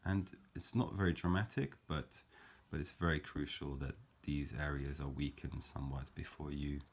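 A man calmly explaining a paper craft step by step, in a gentle, measured way with frequent fillers. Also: very deep voice. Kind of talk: calm step-by-step craft tutorial.

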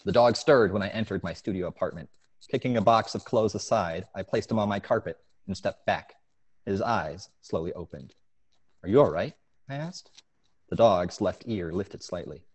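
A young man with a low-pitched voice speaks as an author at an online story event. He is at home on a video call, talking into an earphone mic. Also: normal speed, breathy edge. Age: young adult. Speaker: author reading from his short story. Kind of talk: informal talk and story reading at an online event. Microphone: wired earphone mic on a video call.

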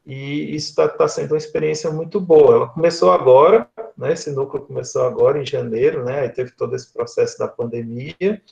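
A man's voice: mid-range voice, brisk, creaky, hardly any pauses, darkish tone. A man in his thirties with a Northeastern Brazilian accent, explaining conversationally on a video call and often checking for agreement with short tag questions. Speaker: guest speaker, lecturer. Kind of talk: conversational online talk. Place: small indoor room, video call. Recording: dull, lo-fi video call audio.

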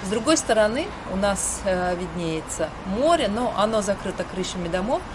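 Friendly middle-aged woman presenting outdoors. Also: medium pitch. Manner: measured, friendly. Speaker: show host. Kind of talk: scripted presenting. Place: balcony and quiet street outdoors. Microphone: camera at medium distance. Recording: outdoor street noise behind.

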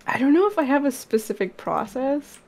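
A woman guest on a video call, slightly hoarse, explaining her work in a loose, rambling way with soft laughs. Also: sits high, big pitch swings, a little fast, faint music behind. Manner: rambling, many fillers, laughs softly. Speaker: guest comic artist. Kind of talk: casual interview answers on a video call.

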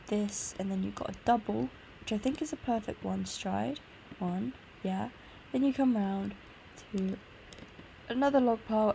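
A woman's voice: medium pitch, gravelly, warm tone, a little hiss or hum, low-quality recording. A tired woman with a congested sore throat gives an informal, meandering tour commentary on a video game. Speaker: gaming video host. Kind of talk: casual gameplay tour commentary.

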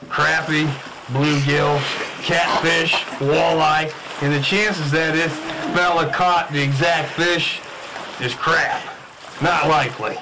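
A man's voice: on the high side, fairly even intonation, takes it slowly, vocal fry, very noisy. A man playing a methodical, sceptical fish scientist in a radio drama spoof. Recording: music behind the voice.

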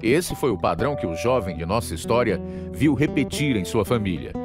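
A man's voice: fairly low pitch, lively intonation, a little fast. A man with a Brazilian accent, announcing and narrating a scripted dramatic radio programme. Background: guitar music.

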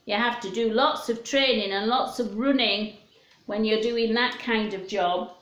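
A woman in her fifties hosting story time for young children, talking simply and in a sing-song way with questions. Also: fairly high pitch. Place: small room at home. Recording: roomy, echoey home recording.

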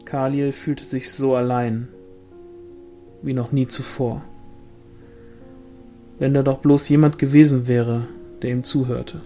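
A man's voice: mid-range voice, flat delivery, slow pace, pauses often, mellow. Man reading a novel over music. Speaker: audiobook reader. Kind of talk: scripted literary narration.

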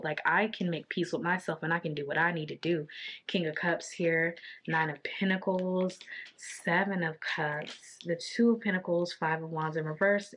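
A woman in her thirties, a little raspy from long talking, giving a casual tarot reading to the camera from a small home office. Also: lowish voice, fairly bright tone, a little hiss or hum. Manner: intuitive, repetitive, drawn-out card naming. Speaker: tarot reader.

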